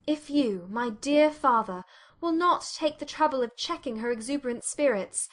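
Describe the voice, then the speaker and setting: A woman voicing an earnest, sensible young woman who speaks in a measured, serious manner in an audiobook. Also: sits high, expressive, pitched above the performer's own voice.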